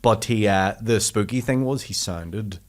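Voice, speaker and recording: A man's voice: lowish voice, a little slow. A man in his mid-thirties hosting a podcast, bantering loosely and jokily and swearing freely. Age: 30s. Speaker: podcast host. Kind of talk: casual chat.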